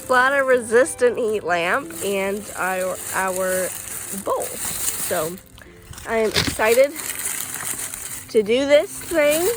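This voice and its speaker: A chatty woman with a fairly high voice, a little stuffy and congested. She talks excitedly, with plenty of "um"s, while filming outdoors beside a shed. Faint music plays softly underneath. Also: rough recording. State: stuffy from allergies. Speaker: farm vlogger. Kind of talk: casual vlog.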